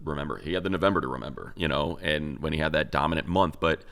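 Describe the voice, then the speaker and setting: Deep-voiced man talking sports. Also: fast talker, vocal fry, a bit bright. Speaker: sports show co-host and analyst. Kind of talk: casual sports talk analysis.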